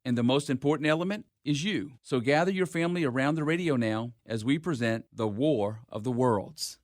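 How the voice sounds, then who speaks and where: A man's voice: mid-range voice, brisk, no echo, studio quality. A man giving a scripted broadcast introduction as a radio presenter, in a polished announcer's manner.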